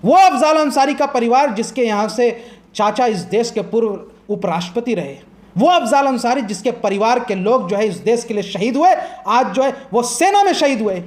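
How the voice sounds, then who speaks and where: A man's voice: very high-pitched, animated, moderate pace. A man arguing as a political commentator in a heated TV debate, impassioned and combative, firing off rhetorical questions.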